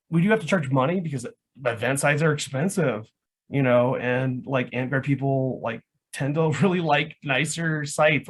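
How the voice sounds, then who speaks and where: A man explaining things in casual chat as a guest, rambling and leaning heavily on the word "like". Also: a little high. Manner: rambling, says "like" constantly. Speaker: guest, live action roleplay organiser.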